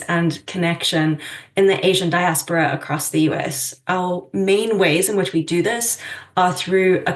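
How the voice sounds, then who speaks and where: A woman giving a steady, informative self-introduction on a virtual panel. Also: lowish voice. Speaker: executive director, panelist. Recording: roomy, distant webcam mic.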